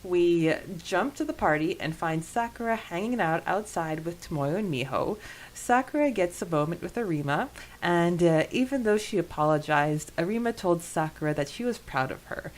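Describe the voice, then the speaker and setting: A woman co-hosting a podcast in casual discussion, enthusiastic and quick to giggle as she recaps a story. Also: fairly low pitch, animated, poor audio. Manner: enthusiastic, giggly, frequent laughing. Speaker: podcast co-host. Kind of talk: casual discussion recapping a fan fiction chapter.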